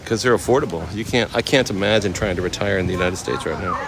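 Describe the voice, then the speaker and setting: A man from Oakland in his thirties, casually chatting with viewers while walking through a temple courtyard outdoors, easygoing and quick to laugh. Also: sits low, crowd noise. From Oakland, California. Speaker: vlogger answering live chat. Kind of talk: casual live-stream walk and chat.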